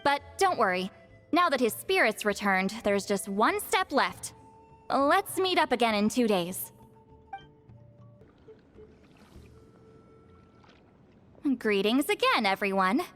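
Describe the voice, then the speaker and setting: A woman playing a youthful-sounding, knowing granny shaman in a video game cutscene, speaking in a measured, mystical storytelling manner. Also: on the high side, big pitch swings, fairly bright tone. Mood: focused, composed. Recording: faint music behind the voice.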